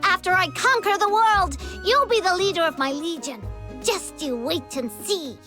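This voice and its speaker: A woman's voice: extremely high pitch, normal speed. A woman voicing a small, childlike horned creature in a video game, boastful and grandiose as a self-styled demon king. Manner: grandiose, cocky. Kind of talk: video game character acting. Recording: music behind the voice.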